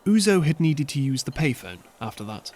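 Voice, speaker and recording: A man narrating an audio drama in an expressive, lively storytelling style. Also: on the high side, a little fast.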